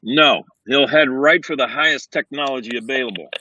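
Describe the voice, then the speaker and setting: An actor playing a decisive, commanding male superhero leader in an animated series table read. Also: mid-range voice, brisk, no room sound, highs cut off. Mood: firm, urgent.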